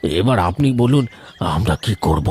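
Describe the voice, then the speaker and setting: A man playing an anxious, deferential older village headman in a cartoon ghost story, husky and hesitant, with a pleading, stammering delivery. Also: low voice, expressive, unhurried, darkish tone, heavily acted. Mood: flustered, respectful. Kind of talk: cartoon horror story voice acting.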